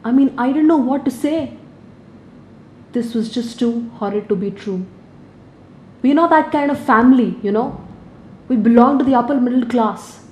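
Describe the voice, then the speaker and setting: An actress playing a distraught upper-middle-class mother with an Indian accent, confiding haltingly in a short film monologue. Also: a little high, warm tone, close to an everyday voice. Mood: shocked, defensive. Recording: roomy, distant, faint noise.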